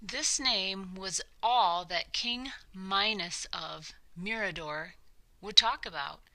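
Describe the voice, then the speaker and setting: A woman narrating a children's story from a script, with dramatic, deliberate phrasing. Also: medium pitch, pushed and bright, low-quality recording.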